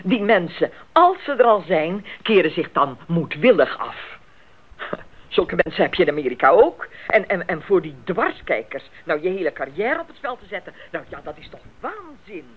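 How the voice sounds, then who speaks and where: A woman's voice: fairly low pitch, sing-song, normal speed, narrow, tinny audio. An actress playing a disapproving, fretting mother of a grown son, exasperated and insistent, in a radio drama. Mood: exasperated, scolding. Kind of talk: 1980s Dutch radio drama acting. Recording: thin band-limited old radio recording.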